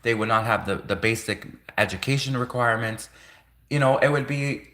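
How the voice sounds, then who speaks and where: Male livestream host, native Russian speaker, bright forceful voice, earnest rambling monologue. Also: mid-range voice, moderate pace. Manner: earnest, rambling, repeats phrases for emphasis.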